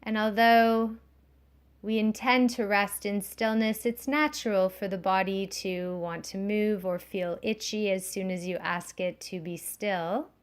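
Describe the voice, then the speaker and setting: Lady leading a guided relaxation, talks really slowly, soothing and gently instructive, normal pitch for a woman. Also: fairly even intonation, clear, resonant tone. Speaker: yoga nidra instructor.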